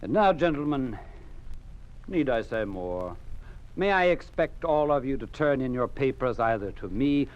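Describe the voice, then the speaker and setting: An actor in a 1950s radio drama playing a smug, pompous director of a science institute with a silkily threatening manner. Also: male voice, medium pitch, lively intonation, a little slow, dull audio, lightly acted. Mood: smug menace. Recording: noisy old 1950s radio recording.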